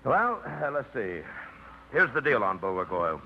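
An actor playing a laconic, blunt mechanic who answers in short, dry phrases, in a 1950s radio drama. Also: male voice, mid-range voice, big pitch swings, a little slow, gravelly, slight background noise. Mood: matter-of-fact. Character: blunt, laconic man named Hawkins, a truck mechanic. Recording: muffled 1950s radio broadcast.

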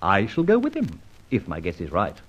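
An actor playing a suave, flippant gentleman adventurer in an old-time radio serial, with breezy old-fashioned slang. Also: deep voice, huge pitch swings, normal speed. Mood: confident, scheming. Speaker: narrator and lead actor. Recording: muffled vintage radio recording, faint noise.

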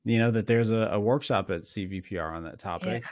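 A man's voice: on the low side, a little fast, crowd noise. A man hosting a tech podcast, asking questions in a friendly, curious way with some fillers. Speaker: podcast host. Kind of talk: interview hosting.

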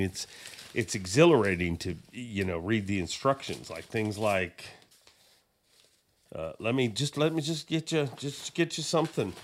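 A man hosting a podcast in a casual, joking, rambling way, his voice a little hoarse. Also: lowish voice. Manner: joking, rambling, self-mocking. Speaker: podcast host.

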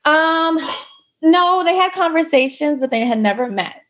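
A woman's voice: high voice, animated, moderate pace, soft background music. A woman telling her own story in a casual interview, chatty, full of likes and you knows. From United States. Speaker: guest.

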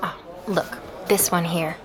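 A woman's voice: fairly low pitch, steady tone, measured pace, crowd noise, close to an everyday voice. An actress playing a curious, polite woman investigator in a naturally spoken audio drama. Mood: curious, puzzled. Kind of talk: audio drama, intimate narration and natural dialogue.

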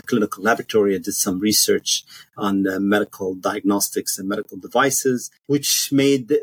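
A middle-aged man from Egypt, an interview guest telling his career story in short, measured statements. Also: on the low side, breathy edge, no echo. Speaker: podcast guest, pathologist.

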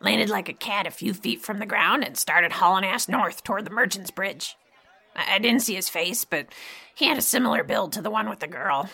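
A woman playing a sly old beggar widow in a fantasy audio drama, raspy and creaky, wheedling and sarcastic in a theatrical, folksy way. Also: mid-range voice, normal speed, sharp tone, heavily acted. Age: older. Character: sly old beggar widow, mock-pitiful, salty-tongued.

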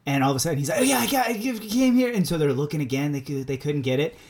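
A man with a Minnesota accent, co-hosting a podcast in a relaxed chat, laughing often and repeating words as he starts sentences. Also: on the high side, fast. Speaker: podcast co-host. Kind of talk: casual chat.

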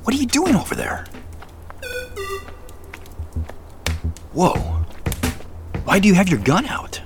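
An actor playing an excitable, uneasy but earnest camper in a naturalistic audio drama, speaking in halting, emphatic phrases. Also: male voice, high voice, music in the background. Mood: startled, curious. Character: excitable, uneasy man on a camping trip, earnest.

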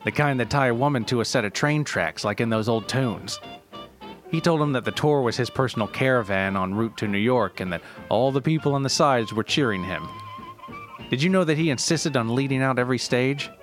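A man's voice: medium pitch, brisk, soft background music, lightly acted. A man playing an exasperated elderly race director, rambling and grumbling conversationally through a reminiscence in an acted interview. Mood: exasperated reminiscing.

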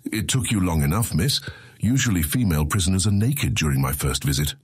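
An actor playing a courteous, weary old veteran guard with a husky voice in a fantasy audiobook. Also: male voice, deep voice, animated, a little fast, no room sound, clean studio sound, exaggerated voice. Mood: wry amusement. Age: older. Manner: formal, gently world-weary. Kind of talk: dramatized fantasy audiobook acting.

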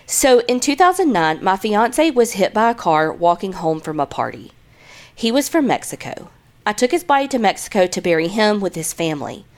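A woman co-hosting a podcast with a congested, stuffy nose, chatting bluntly with plenty of swearing and reading listener stories aloud. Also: medium pitch. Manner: blunt, swears freely, lively storytelling. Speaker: podcast co-host.

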